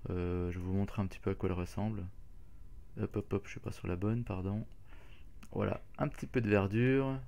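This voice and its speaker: Man, lowish voice, mellow tone, hesitant game walkthrough, lots of fillers. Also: steady tone, moderate pace, creaky. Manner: hesitant, many fillers and restarts. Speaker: gaming channel host. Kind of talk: casual gameplay walkthrough.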